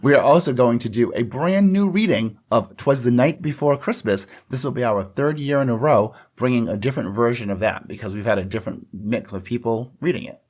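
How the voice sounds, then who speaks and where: A man's voice: mid-range voice, brisk, few pauses, darkish tone. A man co-hosting a podcast in casual conversation, explaining things in a chatty, agreeable way. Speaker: podcast co-host. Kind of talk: casual scripted-outline podcast chat.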